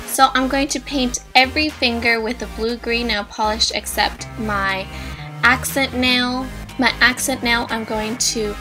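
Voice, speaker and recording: A young woman, bubbly and upbeat, walking viewers through a craft tutorial step by step. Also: fairly high pitch. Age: young adult. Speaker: DIY vlogger. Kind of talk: casual tutorial voice-over. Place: indoors in front of a brick wall. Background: background music.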